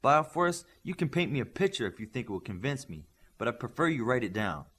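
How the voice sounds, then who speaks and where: A man's voice: medium pitch, lively intonation, a little fast, fairly bright tone, slightly rough. A man voicing a stern, demanding superhero team coach in an audiobook, giving clipped, commanding instructions. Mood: emphatic.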